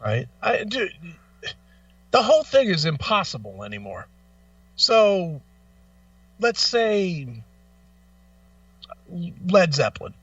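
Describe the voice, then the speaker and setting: High-pitched man, blunt radio talk host. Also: wildly swooping pitch, takes it slowly, long pauses, a bit bright, no echo, distant mic, highs cut off. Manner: blunt, profane, exasperated, emphatic. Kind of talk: opinionated casual radio talk.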